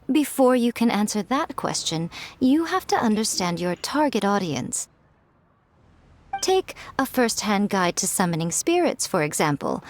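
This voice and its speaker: A woman voicing a poised, sly fox-spirit publisher in a video game, teasing and self-assured in a measured way. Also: mid-range voice, big pitch swings, slight background noise. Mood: instructive, assured.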